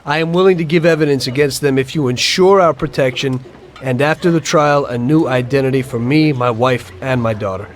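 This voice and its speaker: A man's voice: medium pitch, fairly quick, natural voice. A man with an American accent playing a weary, remorseful mobster turned informant, reflective and plainspoken, in a video game cutscene. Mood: resolved.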